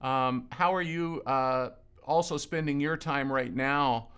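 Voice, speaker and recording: A man from Lebanon interviewing a colleague in an earnest, conversational way, often pausing and repeating words. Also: mid-range voice, a bit bright, clean tone. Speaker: ministry leader interviewing a colleague.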